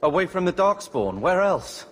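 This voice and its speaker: A man's voice: high-pitched, very animated, normal speed, a bit bright, a little hiss or hum. An actor playing a defensive young male soldier who is brusque and impatient.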